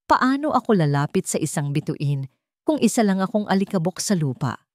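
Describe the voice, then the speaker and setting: A woman's voice: lowish voice, sing-song, normal speed, darkish tone, no echo, clean studio sound. A woman with a Filipino accent voicing a poor, protective young man, an elder brother, in an earnest, tender way for an audio drama. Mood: ashamed.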